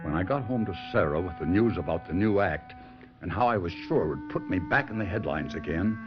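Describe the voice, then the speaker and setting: A man's voice: deep voice, lively intonation, brisk. An actor playing a devoted but restless husband, a ventriloquist telling his own story in a 1970s radio drama. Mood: reflective. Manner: dramatic, reflective first-person storytelling. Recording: muffled radio broadcast, music behind.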